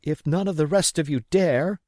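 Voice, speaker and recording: A man's voice: a little high, normal speed, a little mellow, dry recording, studio quality, pitched above the performer's own voice, natural voice. A man voicing a bold, indignant mother in an audiobook, declaiming with defiant emphasis. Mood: defiant, scornful. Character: bold, indignant mother scolding cowardly villagers.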